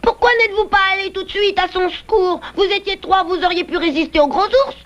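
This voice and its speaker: A tiny cartoon bear cub, with a very high childlike pitch. It speaks bluntly and plaintively, on a dull old VHS soundtrack with music. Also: female voice, fairly even intonation, a little fast. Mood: indignant. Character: small, blunt, anxious young bear cub. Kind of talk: dubbed cartoon acting.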